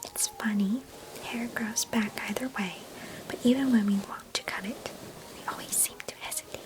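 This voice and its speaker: A woman's voice: a little high, moderate pace, lightly acted, poor audio. Woman acting a whispering, chatty young girl cousin. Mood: coaxing, mischievous. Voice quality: breathy whisper. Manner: gentle, chatty, storybook-like old-fashioned phrasing. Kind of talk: ASMR roleplay, soft intimate whispering. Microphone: close microphone.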